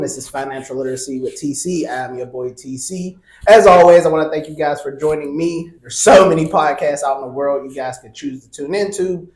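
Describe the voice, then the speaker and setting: A man hosting a podcast in a casual, chatty way, often pausing with "um" and repeating words. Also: on the high side, roomy sound. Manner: relaxed, chatty, many ums and repeated words. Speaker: podcast host.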